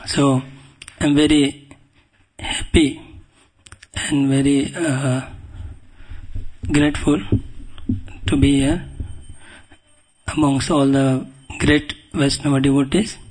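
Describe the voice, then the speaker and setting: A man with an Indian accent giving a devotional scripture lecture as a guest preacher, speaking humbly and haltingly and often clearing his throat. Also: medium pitch, flat delivery, darkish tone, poor audio. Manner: humble, deferential. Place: speaking at a temple.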